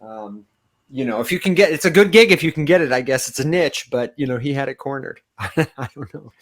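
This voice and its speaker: A man with a nasal, reedy voice and a light Michigan accent, chatting casually as a co-host. His voice sits at an ordinary pitch and comes across dry and close. He tells his stories in a lively way and laughs often. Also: a little fast, dry recording. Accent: Michigan, mostly neutral American. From Las Vegas, raised in Michigan. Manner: animated storyteller, laughs often. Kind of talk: casual chat.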